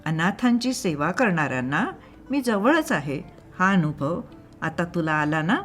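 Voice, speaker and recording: A woman voicing a divine boy god, serene and benevolent, teaching solemnly and calmly in an audio story. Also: fairly low pitch, a little slow, soft background music.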